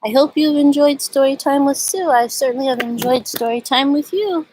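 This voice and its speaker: A woman with a husky voice hosting a storytime for young children, speaking gently and in simple phrases as she reads and chats outdoors. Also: high-pitched, normal speed, poor audio. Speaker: children's storytime host at a community farm. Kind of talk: storybook read-aloud and casual chat to children. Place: outdoors at a farm.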